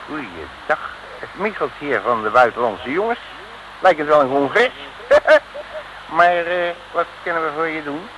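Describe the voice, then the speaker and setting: Cocky detective, bright voice. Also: male voice, fairly high pitch, big pitch swings, a little slow, full character voice. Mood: breezy, joking. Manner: breezy, slangy, wisecracking bravado. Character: cocky, streetwise male detective from Rotterdam, joking braggart. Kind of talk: 1950s-60s Dutch radio serial acting. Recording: noisy old radio recording.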